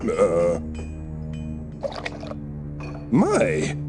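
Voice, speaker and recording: A man's voice: low-pitched, huge pitch swings, drawn-out words, music in the background, full character voice. A man playing an awkward, flustered newcomer in a cartoon adventure game, speaking hesitantly and uneasily. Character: awkward, flustered male newcomer posing as a hammersmith.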